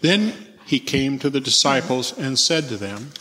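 Male scripture reader for narration, bright and forceful, recorded in a reverberant church. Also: medium pitch, big pitch swings, unhurried, slight background noise. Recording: echoey church hall, long reverb.

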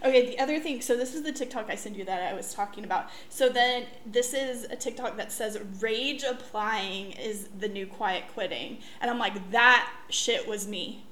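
A woman's voice: on the high side, normal speed, hardly any pauses, a bit bright, a little hiss or hum. A woman hosting a podcast in casual chat, rambling and laughing often. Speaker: podcast host; former teacher.

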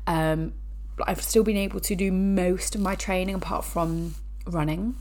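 A woman's voice: lowish voice, steady tone, unhurried, darkish tone. A woman hosting a fitness podcast, speaking casually with a stuffy nose from a cold, chatty and prone to restarting her sentences. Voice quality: nasal. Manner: chatty, frequent restarts and asides. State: blocked sinuses, nasal from a cold. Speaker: podcast host. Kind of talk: casual educational podcast talk.

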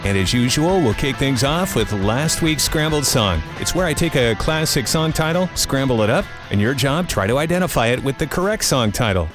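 A middle-aged American man hosts a podcast in the upbeat patter of a radio morning show. His voice sits at an ordinary pitch, and he talks informally with joking asides. Music plays behind him. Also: animated, normal speed, few pauses. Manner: jokey, informal, conversational asides. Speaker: podcast host.

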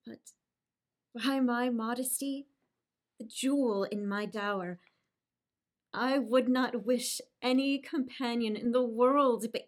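A gentle, smitten young island maiden, played by a woman on the Shakespearean stage. She speaks in a fairly high voice at a very slow, careful pace, earnest and pleading in every line. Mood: shy, smitten. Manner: earnest, pleading, measured verse delivery. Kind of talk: theatrical Shakespearean stage acting.

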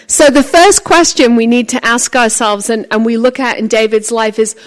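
Talkative woman with a loud voice. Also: on the high side, normal speed, rough recording. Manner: chatty, animated storytelling with acted quotes. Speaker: conference speaker. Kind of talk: conference sermon.

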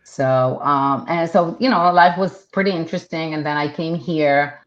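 A middle-aged woman from Israel with Hebrew as her first language talks in a very low voice. She chats loosely, full of "um" and restarts. The sound is dull and lo-fi. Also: normal speed. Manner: chatty, many fillers and restarts. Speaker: realtor guest. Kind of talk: casual interview talk.

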